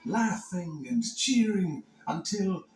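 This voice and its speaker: A man reading scripted children's read-along narration in an expressive, dramatic storytelling manner. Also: sits high, slow. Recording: echoey narration over music. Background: music.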